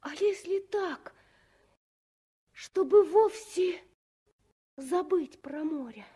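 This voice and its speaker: Tiny little girl, super high, earnest n slow, old 60s radio drama. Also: expressive, warm tone, exaggerated voice. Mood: pleading. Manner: childlike, wondering, slow and emphatic. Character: earnest, eager little orphan girl among sailors. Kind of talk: 1960s Soviet radio drama acting.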